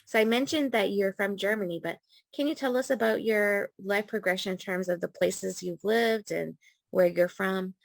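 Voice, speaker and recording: A woman's voice: mid-range voice, moderate pace, gravelly, dry recording. A woman hosting a podcast, chatty and informal, with frequent ums. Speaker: podcast host, coach and therapist.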